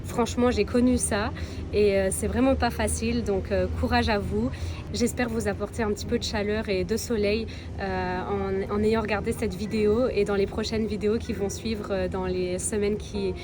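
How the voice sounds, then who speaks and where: A woman's voice: medium pitch, fairly even intonation, normal speed, noisy background. A woman from Belgium, chatting casually to viewers as a travel vlogger. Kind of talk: casual vlog chat to viewers.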